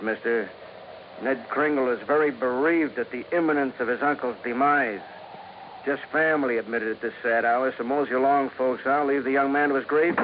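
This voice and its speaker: An actor playing a menacing hoodlum with sneering, mock-polite tough talk in 1950s radio drama style. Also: male voice, medium pitch, natural voice. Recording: noisy, tinny old radio recording.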